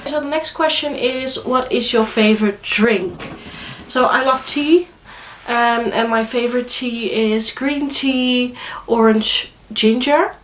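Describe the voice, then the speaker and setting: A woman's voice: a little high, takes it slowly, roomy sound, a little hiss or hum. A young woman from the Netherlands with a Dutch accent, speaking with a cold in a chatty, hesitant vlog to camera. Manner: chatty, hesitant, many fillers and restarts. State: congested with a cold. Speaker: vlogger. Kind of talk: casual chat to camera.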